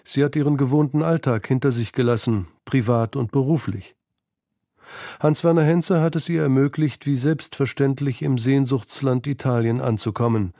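A man's voice: medium pitch, fairly even intonation, moderate pace, dark tone, dry recording, clean studio sound. A man narrating a scripted radio feature in an articulate, composed way. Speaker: documentary narrator. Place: studio.

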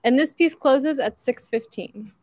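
A woman's voice: high voice, measured pace, a little mellow, slight background noise. A woman in her thirties reading prepared announcements as an auction presenter into a handheld microphone on a covered outdoor stage. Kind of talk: announcing from a written script.

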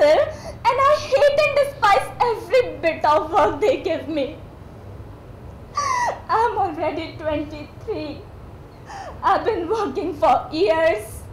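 A woman's voice: very high-pitched, a bit bright. An actress playing a weary, despairing young woman of twenty-three who speaks through tears. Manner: tearful, anguished outbursts. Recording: echoey stage audio, faint hum.

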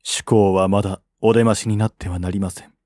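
A man voicing a shrewd, composed strategist of ancient China in a historical audiobook, brisk and formal. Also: on the low side, steady tone, darkish tone, dry recording, clean studio sound, voice pushed down, natural voice. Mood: calm, shrewd.

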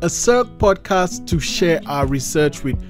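A man's voice: on the high side, animated, a little slow, soft background music. A man in his thirties hosting a panel interview, introducing guests and putting questions to them over a video call. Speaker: podcast host.

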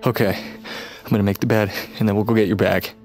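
Man acting a troubled young man, weary, guarded, halting speech, naturalistic audio fiction, faint music. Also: lowish voice, level tone, darkish tone, close to an everyday voice. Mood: subdued, awkward. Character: troubled, guilt-ridden, weary man in his late twenties.

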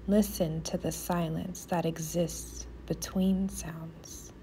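Woman, guided meditation, soothing near-whisper, mellow and dark tone, noticeable ambient noise. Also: lowish voice, level tone, slow pace, poor audio.

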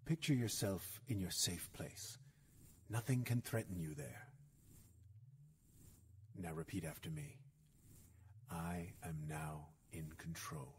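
Low-voiced, measured male therapist played by an actor. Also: a little hiss or hum. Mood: soothing. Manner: measured, soothing, guiding. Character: calm, probing male therapist with a sinister streak. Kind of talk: audio drama acting.

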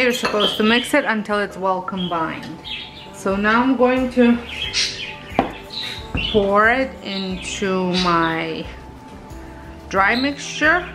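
Casting note: unhurried woman baking host, casual and homey, soft music underneath. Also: mid-range voice. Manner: relaxed, chatty, with fillers and self-corrections. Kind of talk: step-by-step baking narration. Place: home kitchen.

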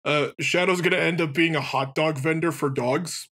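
Husky, higher-voiced man chatting. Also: steady tone, normal speed, a bit bright. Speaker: let's play co-host. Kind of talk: casual game commentary.

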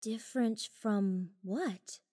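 A woman's voice: medium pitch, slow, dark tone, breathy edge. A woman playing an eager, naive, gushing teenage monster girl in an audio drama. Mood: surprised, questioning.

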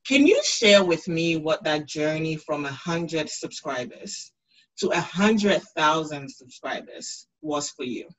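A woman's voice: low voice, big pitch swings, slow, poor audio. A woman in her thirties hosting an interview over a video call from home, affirming her guest often. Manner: warm affirming interviewer, many mm-hmms. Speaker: interviewer, show host. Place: room at home. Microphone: video call webcam.

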